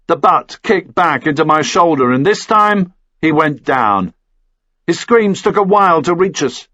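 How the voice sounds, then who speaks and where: A man's voice: on the high side, lively intonation, measured pace, bright, forceful tone, no echo, lo-fi sound. A man reading a first-person action thriller as scripted audiobook narration.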